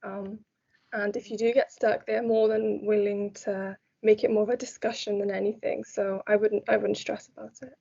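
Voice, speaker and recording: Young female student, calm and reassuring; warm higher voice, dull lo-fi sound. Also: normal speed, gravelly. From South Wales. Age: young adult. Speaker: student guest. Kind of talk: casual group chat. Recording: dull lo-fi audio.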